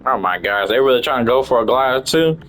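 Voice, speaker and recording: A man's voice: mid-range voice, expressive, fast talker, fairly bright tone, soft background music. A man giving casual, slangy live commentary as he plays a game, swearing freely. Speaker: game streamer reacting while playing.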